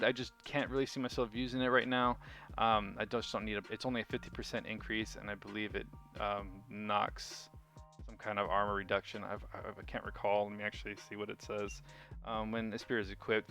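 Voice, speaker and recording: A man's voice: on the low side, vocal fry, bright, forceful tone. A man giving casual gameplay commentary as a video host, informal and rambling as he thinks aloud. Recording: music behind the voice. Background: music.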